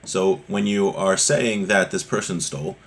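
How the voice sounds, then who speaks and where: A man's voice: deep voice, a little slow, vocal fry. Conversational man teaching a religious text. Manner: conversational, explains with rhetorical questions. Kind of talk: explanatory text-study lesson, reading and translating.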